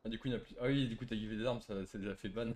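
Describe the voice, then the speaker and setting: Male gaming creator, average pitch, casual slangy speech, teasing and provocative, built for trolling banter. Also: brisk, darkish tone. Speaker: gaming video creator playing online roleplay.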